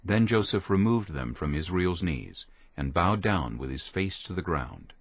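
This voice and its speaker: A man narrates scripture in a low, husky voice. The reading is scripted, and the sound is close, dry and a little dull. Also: sing-song, measured pace, no echo. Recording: muffled lo-fi audio.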